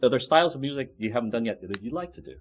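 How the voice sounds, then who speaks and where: A woman hosting an interview show, chatty and quick to chime in with encouraging remarks. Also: mid-range voice, lively intonation, a little hiss or hum. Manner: chatty, quick, frequent backchannels. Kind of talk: casual interview.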